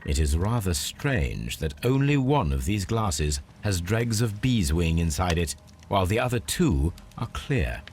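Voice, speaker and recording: An actor playing a composed, analytical Victorian English gentleman detective in a video game, musing precisely and deliberately. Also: low-pitched, big pitch swings, a little hiss or hum.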